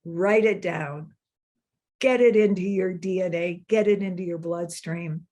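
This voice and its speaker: Older woman minister preaching, lowish voice. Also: dark tone. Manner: earnest, repeats phrases, slows down for emphasis. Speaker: senior minister. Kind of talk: sermon.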